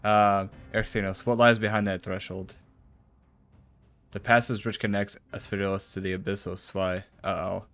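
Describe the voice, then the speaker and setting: A man's voice: low-pitched, level tone, moderate pace, a bit bright, faint music behind. A man streaming a video game, reading its formal cutscene dialogue aloud with some stumbles and casual reactions.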